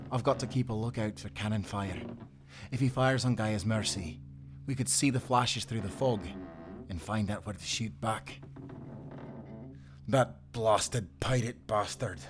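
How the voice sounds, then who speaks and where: A man's voice: fairly low pitch, takes it slowly, pauses often. A man playing a loyal, salty sailor in a nautical audio drama, protective and urgent, with dramatic seafaring turns of phrase. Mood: tense, wary. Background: music.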